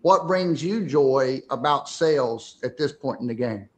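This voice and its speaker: A man's voice: mid-range voice, animated, a little slow. A man hosting a casual talk show, upbeat and affirming as he asks open questions. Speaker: host.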